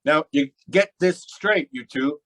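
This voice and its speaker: A man's voice: on the high side, measured pace, fairly bright tone, rough recording. A man playing a cocky, stubborn racketeer boss in an old time radio crime drama, with brash, slangy tough-guy talk. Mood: defiant.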